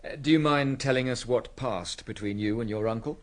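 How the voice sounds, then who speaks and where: An actor playing a courteous, methodical police inspector in a radio drama. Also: male voice, medium pitch, close to an everyday voice. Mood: gentle, grave. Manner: probing, polite, measured.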